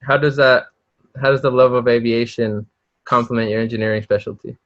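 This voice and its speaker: A man's voice: medium pitch, fairly even intonation, brisk, no echo. A young man acting as a student interviewer, asking questions conversationally over a dull, lo-fi connection.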